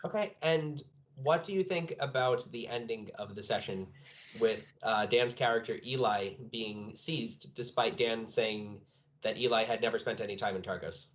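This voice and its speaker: A man's voice: mid-range voice, moderate pace, fairly bright tone, slight background noise. A man speaking as a game master, chatting casually and asking a player about their tabletop campaign. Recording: dull, lo-fi voice audio.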